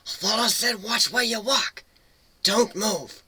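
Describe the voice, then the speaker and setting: A man's voice: high voice, unhurried, bright, forceful tone, husky, pitched above the performer's own voice, heavily acted. A man voicing a bossy, streetwise female cat guide in a fantasy storybook reading. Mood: hissing, stern. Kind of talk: dramatic storybook character reading.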